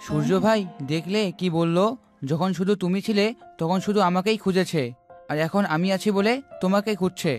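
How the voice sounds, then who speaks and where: A man's voice: high-pitched, normal speed, close to an everyday voice. A man voicing a proud but reflective sky spirit in a cartoon folk tale. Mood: conciliatory. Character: sun and moon as proud, reflective rival spirits. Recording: music behind the voice.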